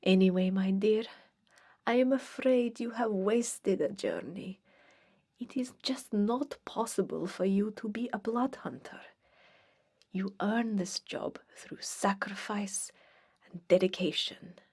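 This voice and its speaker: Woman playing centuries-old female vampire for ASMR roleplay: icy, superior, formal, unhurried, very breathy and whispered. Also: mid-range voice, a little mellow. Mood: haughty dismissal. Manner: disdainful, formal, archaic phrasing, deliberate. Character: haughty, cold, centuries-old female vampire blood hunter recruiter.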